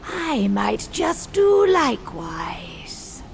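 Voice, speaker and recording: A scheming ghostly noblewoman for a game cutscene. Her voice is deeply creaky and unhurried, rising and falling dramatically. She sounds silky, formal and condescending, with faint noise behind her. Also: big pitch swings, full character voice. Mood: pleased, condescending. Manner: formal, theatrical, deliberate, condescending. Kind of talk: video game cutscene acting.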